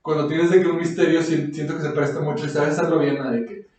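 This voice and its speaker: Echoey Mexican man, fairly high, rambling. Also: steady tone, fast talker, darkish tone, far from the mic. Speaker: podcast host. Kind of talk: casual chat. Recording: echoey room, off the microphone.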